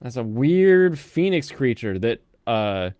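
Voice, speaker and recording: A man casually reviewing anime with friends, rambling and animated, often slipping into mocking quoted voices. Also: medium pitch, slow, no echo, clean studio sound. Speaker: host.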